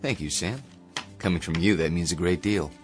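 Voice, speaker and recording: History professor for radio drama, male actor: very low voice, measured and theatrical, hint of a hidden past. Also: faint music behind, natural voice. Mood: polite, guarded. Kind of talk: modern radio drama acting.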